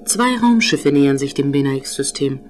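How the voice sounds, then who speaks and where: A woman's voice: extremely low pitch, animated, moderate pace, darkish tone. A woman playing a courteous, composed female station computer with a flirtatious streak in a German sci-fi radio play. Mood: businesslike. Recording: music behind the voice.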